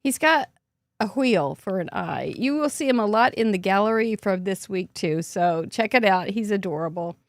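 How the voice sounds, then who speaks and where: A middle-aged woman host with a hoarse voice, chatting in an upbeat way and laughing often as she shows off gifts. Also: mid-range voice, expressive, normal speed, no echo, clean studio sound. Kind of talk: casual show-and-tell recap.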